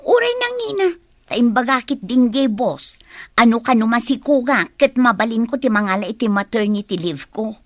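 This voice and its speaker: An actress playing a vain, easily annoyed young office worker in a radio drama, with a haughty, whiny delivery. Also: female voice, fairly high pitch, very animated, normal speed, dry recording, studio quality.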